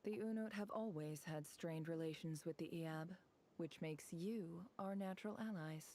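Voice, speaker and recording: A woman voicing a ruthless, bitter desert tribeswoman in a video game, speaking in a cold, deliberate, contemptuous way. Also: lowish voice, mellow, lightly acted. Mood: cool, calculating. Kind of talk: dramatic video game voice acting.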